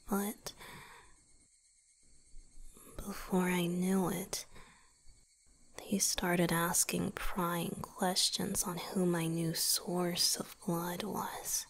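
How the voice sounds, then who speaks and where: A softly whispering, weakened young vampire boyfriend, acted by a woman, confides slowly and intimately to a beloved. The pitch sits in a middle range, with breathy, hesitant delivery and a protective devotion. It is an ASMR roleplay with faint noise and ambience behind the voice.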